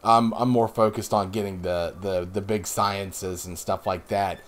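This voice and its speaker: A man in his thirties, a little hoarse today, casually explaining his moves while playing a space game, with rambling asides. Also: sits low, fairly even intonation, measured pace. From Northeastern US. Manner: rambling, explanatory, self-deprecating. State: slightly hoarse. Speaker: let's play host. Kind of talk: casual gameplay commentary.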